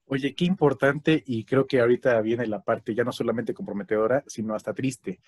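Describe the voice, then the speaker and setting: A man's voice: mid-range voice, steady tone, normal speed, breathy edge, no room sound. A man with a Mexican Spanish accent hosting a casual conversation, chatty, with frequent fillers and tag questions. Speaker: host.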